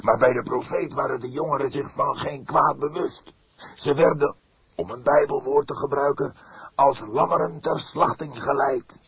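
A man's voice: mid-range voice, normal speed, fairly bright tone, slightly rough, voice pushed up. A man voicing an indignant, solemn father of the church in an audiobook, speaking with emphatic, formal deliberation. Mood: fierce. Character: fierce, pained elderly pastor, indignant and solemn. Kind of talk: audiobook character voice.

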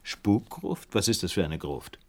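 A man acting in a German radio play as a calm, shrewd gentleman detective who probes in a measured, analytical way. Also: low-pitched. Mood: probing.

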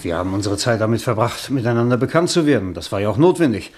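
A man's voice: fairly low pitch, expressive, quick pace, a little mellow, natural voice. A man playing a proud, weary older novelist in a radio drama, with a formal, cultivated way of speaking. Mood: measured objection. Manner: formal, eloquent, reserved.

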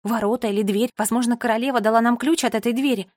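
A woman voicing a brisk, teasing, assertive schoolgirl in a children's adventure story. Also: on the high side, steady tone, darkish tone, no room sound, clean studio sound, natural voice. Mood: confident.

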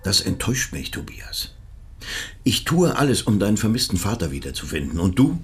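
An actor playing an impatient police commissioner in a radio drama, questioning in a clipped, insistent way. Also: male voice, on the low side, very animated, fairly quick. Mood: reproachful, probing.

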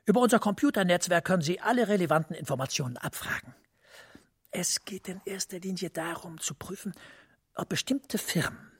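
A husky, composed senior official. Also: male voice, high-pitched, a bit bright, no echo, studio quality, heavily acted. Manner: precise, measured, confidential. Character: professor and senior EU official, composed, discreet, authoritative. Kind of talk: German radio drama acting.

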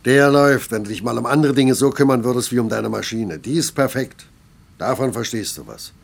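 Radio play role: older factory foreman, gravelly, rough-edged, gruff, sardonic needler. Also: medium pitch, fairly quick. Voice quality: gravelly, husky. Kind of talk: German radio play acting.

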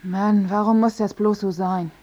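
A woman's voice: mid-range voice, fairly even intonation, measured pace, mellow, dull audio, lightly acted. A woman playing a disgruntled, suspicious working-class woman in a radio comedy, blunt and colloquial. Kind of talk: radio comedy drama acting.

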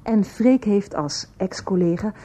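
Formal female narrator for radio drama, prim and patient, warm relaxed timbre, scripted scene-setting. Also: mid-range voice, a little slow.

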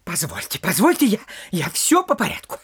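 Here is an excitable town busybody in a comic radio play. The voice is high and very animated, swooping as he stammers. He is fussy, servile and full of his own importance. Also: moderate pace, a little hiss or hum, heavily acted. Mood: flustered, eager. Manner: stammering, flustered, digressive, obsequious. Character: excitable, fussy town gossip, a man, breathlessly self-important. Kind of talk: theatrical comic audio drama acting.

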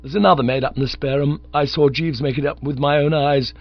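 A man doing an excitable scatterbrained young toff. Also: on the high side, fairly quick, dry recording, soft background music, voice pushed up, lightly acted. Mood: eager. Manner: breathless, eager, chattering. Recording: dull lo-fi recording with mains hum.

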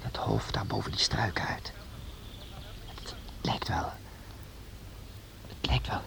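An actor playing a watchful, bossy young adventurer in a radio drama, with a wary, conspiratorial way of talking. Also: male voice, lowish voice, slow, creaky, in a whisper, full character voice. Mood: hushed, watchful. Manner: conspiratorial, hushed, giving short orders.

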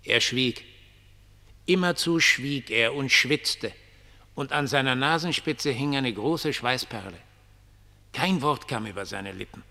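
An actor in a German radio play as a ragged homeless dreamer, mocking, prophetic and pitying, with theatrical, declamatory delivery. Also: male voice, medium pitch, slow pace, creaky, pushed and bright, exaggerated voice. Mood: dreamy, pitying. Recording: long reverberant hall.

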